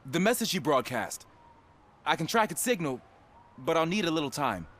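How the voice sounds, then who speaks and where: A man's voice: a little high, very animated, moderate pace, fairly bright tone. An actor playing an anxious but helpful young rookie policeman in a video game. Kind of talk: video game cutscene acting.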